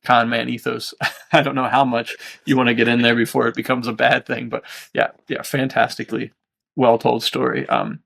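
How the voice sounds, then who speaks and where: A man co-hosting a podcast in casual chat, haltingly thinking aloud. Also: medium pitch, little pitch movement, gravelly, fairly bright tone. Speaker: podcast co-host.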